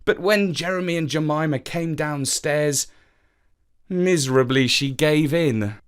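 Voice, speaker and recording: A man narrating a children's story in an expressive storytelling manner. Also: on the high side, measured pace, smooth.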